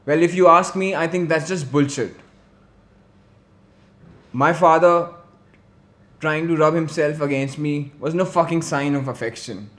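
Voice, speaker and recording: An actor playing a bitter grown son, speaking bluntly and indignantly in a film monologue. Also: male voice, a little high, close to an everyday voice. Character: bitter, angry grown son betrayed by his father. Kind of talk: dramatic monologue in a short film.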